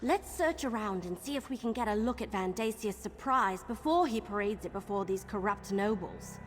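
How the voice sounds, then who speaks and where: An actress playing a bold, suspicious woman adventurer in a video game, brisk and informal. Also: medium pitch, lively intonation, slight background noise, lightly acted. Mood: wary, determined. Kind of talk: scripted video game dialogue. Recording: long reverb, game music behind.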